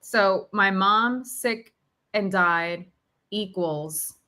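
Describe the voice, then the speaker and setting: A woman's voice: mid-range voice, very slow, bright, forceful tone. A woman in candid, reflective conversation, thinking aloud emphatically in short, broken phrases. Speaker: podcast host sharing personal reflections on grief.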